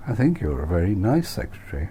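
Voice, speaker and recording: A man's voice: deep voice, big pitch swings, quick pace, dark tone, slight background noise. A man playing a courteous, eager, lovestruck office worker in an audiobook novel. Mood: courteous flirting.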